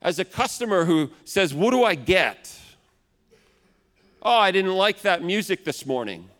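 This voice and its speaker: Loud big-voiced preacher man, high. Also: big pitch swings, measured pace. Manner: acts out complaining customers in raised voice. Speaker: pastor. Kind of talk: sermon. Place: large church hall. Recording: long hall reverb.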